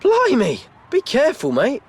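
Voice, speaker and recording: Husky, excitable teen wizard. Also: female voice, high voice, moderate pace, exaggerated voice. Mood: startled, concerned. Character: excitable, friendly teenage wizard schoolmate. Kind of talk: video game acting.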